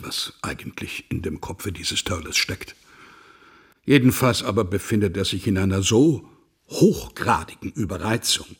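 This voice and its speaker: An actor playing a stern, impatient boarding school headmaster in a radio play, formal and authoritative. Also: male voice, sits low, a bit bright, breathy edge, full character voice. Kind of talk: German radio play acting.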